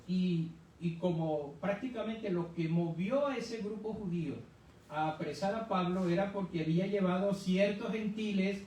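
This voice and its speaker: A man with a high, mellow voice teaches scripture in a conversational, didactic way, posing questions as he explains. He is recorded at a distance in a heavily reverberant room. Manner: didactic, poses rhetorical questions to listeners. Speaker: church Bible teacher. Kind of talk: conversational expository Bible teaching. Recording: very echoey room, distant mic, faint noise.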